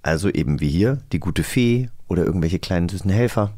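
A man's voice: deep voice, animated, brisk, mellow. A man chatting casually and enthusiastically as a podcast host. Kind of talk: casual chat.

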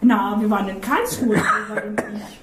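A woman's voice: medium pitch, animated, moderate pace, lightly acted. A woman playing a granddaughter telling her grandfather about her day in an improvised radio play. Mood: chatty. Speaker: actor in an amateur radio play excerpt. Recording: roomy recording with faint ambience.

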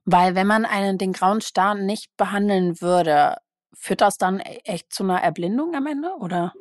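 A woman's voice: mid-range voice, moderate pace, no room sound, clean studio sound. A woman who grew up bilingual in German and Portuguese, hosting an interview and asking curious follow-up questions. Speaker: podcast host. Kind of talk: interview conversation.

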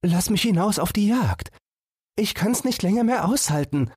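Storybook fawn, young male, restless and pleading, very high soft voice acted by a man, close dry sound. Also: animated, normal speed, husky, no echo, heavily acted. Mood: eager pleading. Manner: pleading, impatient. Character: eager, restless young enchanted fawn, little brother.

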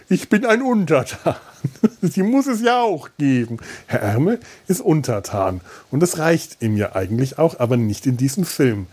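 Man with dark, husky voice, wryly rambling. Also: medium pitch. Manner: wry, exaggerated repetition for comic effect; halting, often restarts and repeats words. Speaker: podcast host. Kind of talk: casual spoken film review, loose monologue.

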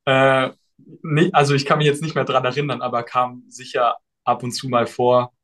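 Relaxed young German man musing. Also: medium pitch, normal speed. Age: young adult. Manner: relaxed, thoughtful, many fillers and hedges. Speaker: guest. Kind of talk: casual podcast interview.

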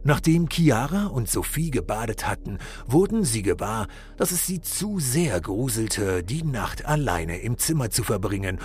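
A man playing a solemn fairy-tale storyteller, giving scripted narration in a dramatic, mock-solemn, measured manner. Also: medium pitch, big pitch swings, a little mellow. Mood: hushed, storytelling.

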